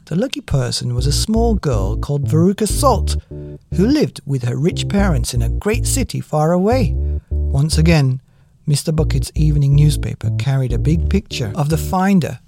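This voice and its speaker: A man reading a children's story in an expressive, scripted storytelling manner. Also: fairly high pitch, moderate pace, over music. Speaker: audiobook reader.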